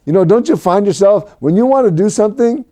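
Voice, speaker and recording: A man from Mexico preaching a sermon for an online service, animated and rising to shouts at his peaks. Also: high-pitched, fast talker. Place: home recording for a virtual service.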